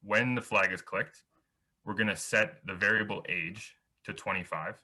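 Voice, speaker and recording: Low, bright-toned young man teaching. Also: steady tone, moderate pace, poor audio. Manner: patient, explains step by step with small restarts. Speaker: workshop instructor. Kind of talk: recorded programming tutorial over slides.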